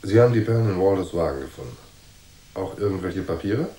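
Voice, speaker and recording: An actor playing a cool, unflappable private detective who narrates a noir radio drama in a dry, laconic way. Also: male voice, sits low, lively intonation, fast, warm tone. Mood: assertive. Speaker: first-person narrator and detective.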